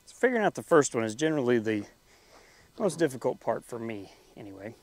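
A young man hosting a DIY farm video, thinking aloud in a plain, unhurried way while he works outdoors in a farmyard, picked up from a distance by the camera. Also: mid-range voice, very animated. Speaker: DIY farm channel host. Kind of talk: casual building tutorial narration.

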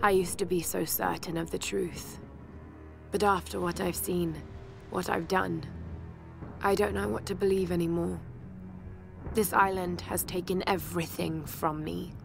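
An actress playing a shaken but determined young adventurer, narrating her survival journal in a game with a breathy voice that often drops toward a whisper. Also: on the low side, steady tone, measured pace, pauses often. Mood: resolute, intense. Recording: music behind the voice.

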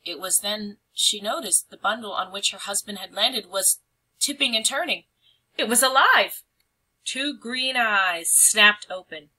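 A woman's voice: mid-range voice, lively intonation, unhurried, bright, forceful tone, husky, poor audio. A woman reading a picture book aloud as a storyteller, with dramatic, emphatic phrasing.

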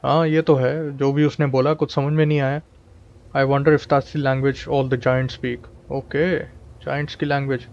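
A man's voice: medium pitch, flat delivery, rapid-fire, a little mellow, lo-fi sound. A man with an Indian accent, a native Hindi speaker, doing casual gameplay commentary and reading game text aloud. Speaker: gaming video host.

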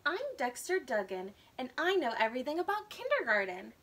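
Woman as small storybook boy, boastful, anxious, childlike, high-pitched, very animated. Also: fairly quick, a little hiss or hum. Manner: excitable, childlike, expressive storybook delivery. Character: eager, boastful, anxious little kindergarten boy.

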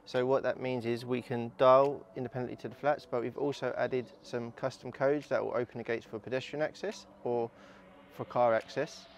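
A man's voice: mid-range voice, fairly even intonation, normal speed, a little hiss or hum. A man in his thirties explaining an installation in a matter-of-fact, instructional way, like a tradesman presenting a walkthrough. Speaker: smart home installer presenting his company's work.

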